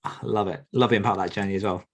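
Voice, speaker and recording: A man's voice: lowish voice, steady tone, fast. A man hosting a casual group podcast, inviting guests to introduce themselves. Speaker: podcast host.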